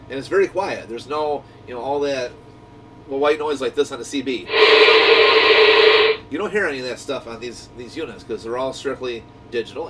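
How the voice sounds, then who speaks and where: Guy chatting thru a product review, medium pitch, kinda far from the mic, bit of background noise. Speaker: radio shop owner reviewing gear. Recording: distant mic, noisy low rumbling hum.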